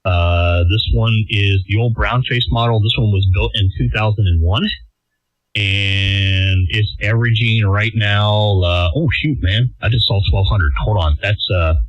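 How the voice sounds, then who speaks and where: A man's voice: fairly low pitch, measured pace, no echo, muffled recording. A man in a casual, rambling, self-deprecating chat as an amateur radio operator, heard over a band-limited radio transmission.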